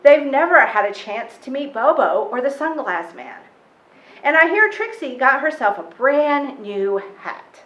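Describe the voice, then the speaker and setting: A woman reading a children's picture book, voicing a sassy, wise, warm-hearted grandmother with a folksy, emphatic way of teaching. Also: sits high, sing-song, measured pace, echoey room, highs cut off, voice pushed up, full character voice. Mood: gentle, wise.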